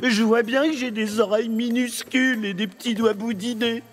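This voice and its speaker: Cartoon sultan: plump, vain and gloomy, high voice, self-pitying whine. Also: male voice, fairly even intonation, moderate pace. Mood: despairing. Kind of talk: French children's cartoon dubbing.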